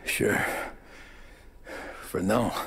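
A man's voice: drawn-out words, a bit bright. An actor playing a cool, menacing mobster in his thirties in a video game, with an American accent and hard-boiled slang. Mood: grim, curt. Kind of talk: dramatic video game acting.

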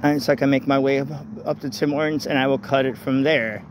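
A man rambling casually and haltingly into a handheld phone as he walks outdoors, often repeating his phrases. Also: medium pitch, steady tone. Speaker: vlogger. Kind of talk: casual rambling walk-and-talk chat. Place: outdoors walking along a street at night. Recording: noisy outdoor phone, traffic ambience. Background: vehicles and street ambience.

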